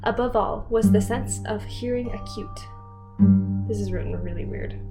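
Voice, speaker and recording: A woman's voice: fairly high pitch, fairly even intonation, normal speed, over music. A woman host doing a semi-dramatic read-aloud of a story, wry and informal, breaking off for casual asides.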